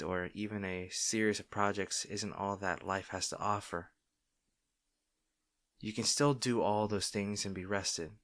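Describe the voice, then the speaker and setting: A man playing a caring, gently firm boyfriend in an intimate roleplay audio, speaking softly, slowly and earnestly. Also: on the low side, no echo, studio quality. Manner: soft, slow, tender, earnest with sighs.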